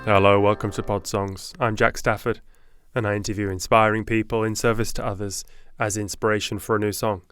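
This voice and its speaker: A man's voice: fairly low pitch, flat delivery, a little fast, hardly any pauses, a bit bright, clean tone, dry recording. A man hosting an interview podcast, introducing his guests and asking friendly questions. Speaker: podcast host.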